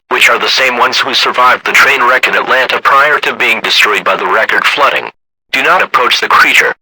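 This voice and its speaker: Male voice, emergency alert announcer, synthetic, formal, extremely bright, rough, dry. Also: medium pitch, no echo, full character voice, poor audio.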